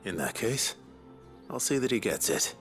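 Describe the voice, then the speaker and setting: A man playing a gruff, terse but courteous swordsman in a video game, with a low gravelly voice. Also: animated, normal speed, close to an everyday voice. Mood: calm, terse. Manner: terse, understated. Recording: game music under the voice.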